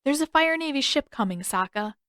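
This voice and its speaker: A woman's voice: high-pitched, animated, brisk, fairly bright tone, no room sound, studio quality, voice pushed up. A woman voicing an earnest, alert young boy adventurer who speaks eagerly in a storybook adventure. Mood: anxious, urgent.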